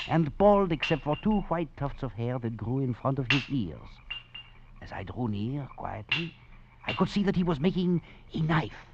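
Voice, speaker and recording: A man's voice: mid-range voice, noisy background. An actor playing a wry, cunning male escaped prisoner who narrates his tale with chuckles and theatrical flair, in a 1940s radio drama. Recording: dull old radio recording, faint music.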